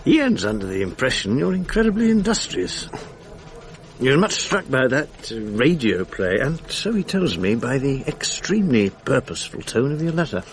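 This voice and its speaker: An actor playing a bitter, sardonic middle-aged husband with a British accent in a radio drama, his voice husky. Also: mid-range voice, big pitch swings, moderate pace, pauses often, a little hiss or hum, close to an everyday voice, rough recording. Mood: dry, weary. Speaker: lead actor and narrator.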